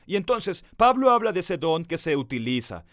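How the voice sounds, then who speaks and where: A pastor preaching with earnest conviction, his voice sitting somewhat high for a man. He speaks right to his listeners and stretches out the words he wants to land. The sound is close and dry, with almost no room around it. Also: sing-song, fairly bright tone, dry recording. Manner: earnest preaching, slows down on key words for emphasis; addresses the listener directly. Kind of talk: sermon.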